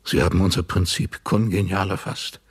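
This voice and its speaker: An actor playing a proud, cynical older father and property tycoon in a German radio play, measured and self-satisfied. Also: sits low, fairly even intonation, vocal fry, breathy edge, no room sound, exaggerated voice. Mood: smug.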